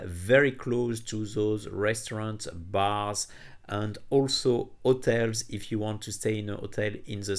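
A man narrating a travel vlog about a charging stop and a city visit, speaking haltingly with many fillers and phrasing. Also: on the low side. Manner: halting, frequent uh fillers, non-native phrasing. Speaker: travel vlogger reviewing EV chargers.

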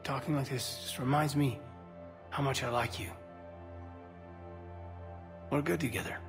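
A man playing an earnest, soft-spoken, somewhat hesitant space soldier in a video game, speaking intimately. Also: medium pitch, a little slow, music in the background, close to an everyday voice. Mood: tender, hesitant. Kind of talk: video game dialogue acting.

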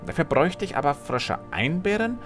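A man's voice: on the high side, sing-song, a little fast, fairly bright tone, soft background music. A man playing an impatient, scheming court alchemist with a questioning, insistent manner in a fantasy audio drama. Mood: calculating. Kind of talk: fan-made fantasy audio drama acting.

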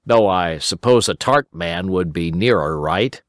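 A kindly old rabbit gentleman from a children's storybook, as a man puts him on, gentle and eager to help. His voice rises and falls with a lively sing-song. The sound is dry and close. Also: sits low, a little slow, dry recording.

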